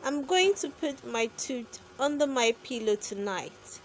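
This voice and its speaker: Woman acting a young boy, storybook, high-pitched, excited, proud, eager to explain. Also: measured pace, a little hiss or hum. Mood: explaining eagerly. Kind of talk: children's storybook character.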